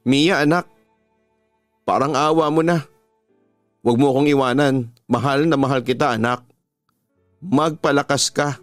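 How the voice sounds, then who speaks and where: A man's voice: medium pitch, a little slow, pauses often, dry recording, faint music behind, close to an everyday voice. A Filipino man voicing an anguished young mother who pleads for her sick child in a radio drama story. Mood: pleading.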